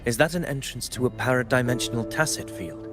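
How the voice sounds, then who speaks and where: A man's voice: medium pitch, brisk, a bit bright, soft background music, lightly acted. An actor playing a noble, resolute young male swordsman in a video game, speaking formally and earnestly. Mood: steady, loyal. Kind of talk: dramatic video game voice acting.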